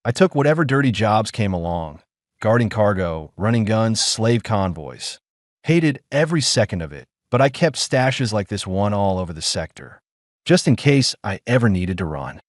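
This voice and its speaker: A man's voice: on the low side, big pitch swings, moderate pace, dry recording, studio quality. A man doing a weary ex-mercenary.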